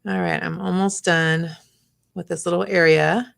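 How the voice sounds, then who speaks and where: A woman's voice: lowish voice, steady tone, a little slow, creaky. A woman hosting a live craft stream, chatting casually as she works, sometimes whispering to her artwork, her voice a bit worn. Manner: chatty, talks to her artwork, drops to whispers while working. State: losing her voice, clearing throat. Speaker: craft channel host.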